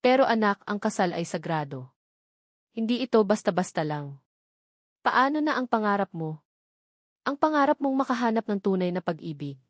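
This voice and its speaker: A woman's voice: mid-range voice, expressive, normal speed, long pauses, no room sound, clean studio sound, natural voice. A woman voicing a worried, caring older aunt in an audio love drama, motherly and pleading. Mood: concerned, pleading.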